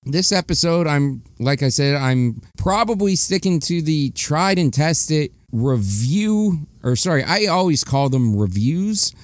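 A man's voice: fairly high pitch, animated, unhurried, few pauses, no room sound. A young man hosting his own podcast, talking casually and candidly, rambling and restarting his sentences. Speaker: podcast host. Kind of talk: casual solo podcast monologue.

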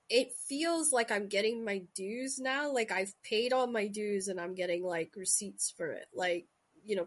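A woman's voice: medium pitch, slightly rough. A woman with a Scottish accent hosting a podcast interview, chatty and teasing as she calls her guest out. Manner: teasing, chatty, quick to call people out. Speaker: podcast host. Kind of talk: casual conversational interview.

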